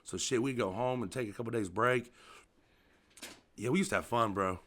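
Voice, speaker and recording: A man with a loud voice talks excitably in casual chat, full of slang and "bro". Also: medium pitch. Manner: fast, excitable, slangy, says "bro" a lot.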